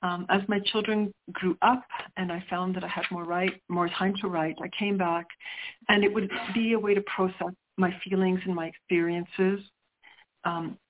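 A woman's voice: fairly low pitch, a little hiss or hum. A middle-aged woman, a poet whose first language is Sicilian, answering interview questions in a reflective way and often restarting as she searches for words. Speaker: poet guest. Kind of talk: interview conversation. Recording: tinny phone line.

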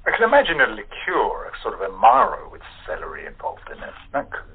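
A man's voice: mid-range voice, wildly swooping pitch, fast, gravelly, a little hiss or hum. A man, an actor chatting as a guest, with a wry, wordy and slightly formal way of bantering. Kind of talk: casual bantering chat. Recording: tinny phone line.

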